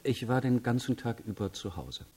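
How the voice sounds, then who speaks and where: A man playing a reserved schoolteacher in his thirties in a radio crime drama, answering in a measured, guarded way. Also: mid-range voice, lively intonation, mellow, slightly rough. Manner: measured, restrained, short answers.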